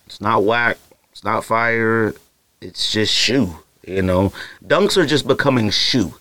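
A man's voice: lowish voice, slow pace, bright, forceful tone, dry recording. A young man hosting a podcast, talking casually and rambling, with many fillers and asides. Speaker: podcast host. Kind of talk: casual solo podcast talk.

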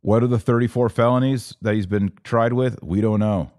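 A man's voice: lowish voice, steady tone, moderate pace, darkish tone, dry recording, clean studio sound. A man hosting a live religious commentary podcast, answering comments in an exhortative, emphatic way and repeating key phrases.

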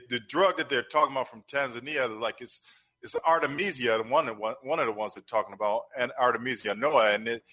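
A man speaking as a guest professor in an interview, explaining in a measured, didactic way with repeated phrases. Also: mid-range voice, sharp tone.

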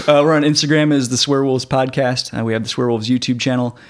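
An easygoing young man talking casually on a movie podcast. He goes along with his co-host and fills his sentences with "like" and "uh." His voice sits at a medium pitch. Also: normal speed. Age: young adult. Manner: easygoing, agreeable, lots of "like" and "uh". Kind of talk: casual movie review chat.

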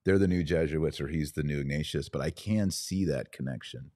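A man's voice: extremely low pitch, brisk, a little mellow. A man in a casual podcast conversation, hosting and talking in a reflective, anecdotal way. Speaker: podcast host.

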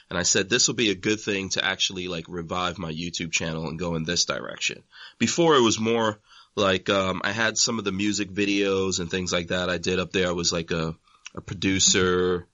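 A man in his forties, born in Guyana, talking as a guest in a relaxed, rambling way full of "you know". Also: deep voice, no room sound, lo-fi sound. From born in Guyana, raised in England and Nigeria. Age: 40s. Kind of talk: casual conversation.

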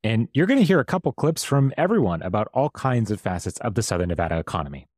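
A man's voice: lowish voice, sing-song, fast talker, darkish tone, no room sound, studio quality. A man hosting a podcast, introducing his guests in a scripted way. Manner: announcing, introductory. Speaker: podcast host.

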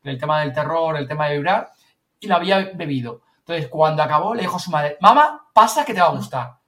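A man with a Spanish accent from Spain tells an anecdote casually as a podcast host, colloquial and animated. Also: on the high side, fast, distant mic. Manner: animated storyteller, colloquial, swears casually, quotes others. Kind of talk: casual anecdote telling among colleagues.

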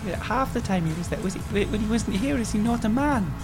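A woman's voice: mid-range voice, lively intonation, brisk, music in the background, close to an everyday voice. A woman playing a genteel, eccentric salon customer in an improvised comedy audio play, effusive, earnest and eagerly obliging. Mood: admiring.

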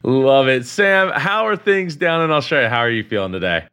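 A man hosts a casual business talk show with a very animated voice that rises and falls widely. He speaks in an exclamatory way, doubling up short phrases for emphasis. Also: medium pitch, normal speed. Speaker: podcast host.

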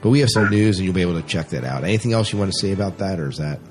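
A man hosting a podcast in casual chat, speaking in a conversational, slightly halting and repetitive way. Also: low voice, animated, darkish tone, soft background music. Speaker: podcast host.

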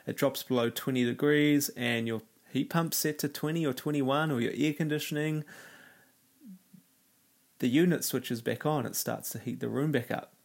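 A man hosting a solo self-help podcast, talking in an earnest, motivational way while raspy and nasal from a cold. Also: medium pitch. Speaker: podcast host.

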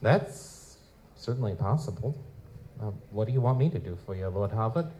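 A man's voice: fairly low pitch, expressive, moderate pace, dark tone, slight background noise, close to an everyday voice. An actor playing a wry, down-on-his-luck lutin private investigator in a live audio drama, with wisecracking, folksy noir storytelling. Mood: hesitant, surprised. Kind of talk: live audio drama, first-person noir narration and dialogue. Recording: music behind the voice.

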